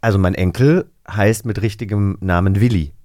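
A man's voice: on the low side, normal speed, dark tone, no room sound, clean studio sound. A man hosting a casual podcast quiz, chatty and self-mocking, with frequent fillers and restarts. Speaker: podcast host. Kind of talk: casual chat, quiz game.

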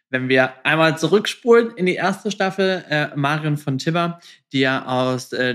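A man's voice: mid-range voice, a bit bright. A man hosting a podcast interview in a conversational way, slipping English phrases into his talk. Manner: mixes English phrases into speech. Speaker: podcast host.

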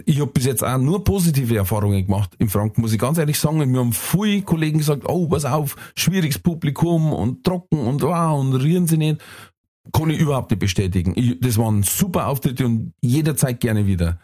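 A man with a Bavarian accent chats on a podcast, relaxed and storytelling. His pitch sits in the middle of the usual range. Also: fairly quick. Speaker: comedian and podcast co-host. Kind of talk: casual chat.

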